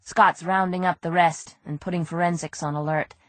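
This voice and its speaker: A woman's voice: fairly low pitch, fairly even intonation, moderate pace, no echo, natural voice. A woman voicing a concerned female police detective.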